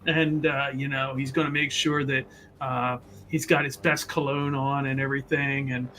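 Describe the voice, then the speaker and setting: A man's voice: mid-range voice, level tone, normal speed, sharp tone, a little hiss or hum. A man playing a tabletop roleplaying game, casually improvising a description of his character in a rambling, hesitant way.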